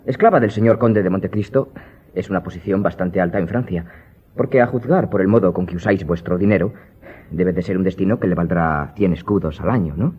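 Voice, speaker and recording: An eager, curious young aristocrat of radio drama, voiced by a man, polite and full of wonder. The voice is low and husky, on a slightly lo-fi recording. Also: brisk. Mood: curious, amazed. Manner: inquisitive, courteous, marveling. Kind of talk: Spanish-language radio drama acting.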